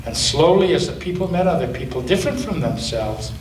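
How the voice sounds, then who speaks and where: A man, a pastor, reading a children's story aloud in a church with expressive storytelling, recorded from a distance with a roomy echo and faint hiss. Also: a little high, a little fast, soft background music. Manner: expressive storytelling, with occasional slips.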